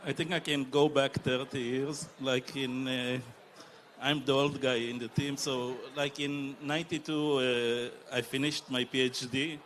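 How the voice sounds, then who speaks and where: A man, answering on a conference panel with many hesitant pauses. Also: mid-range voice, little pitch movement, a bit bright, slight background noise. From Israel. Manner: halting, many filler pauses. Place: conference stage.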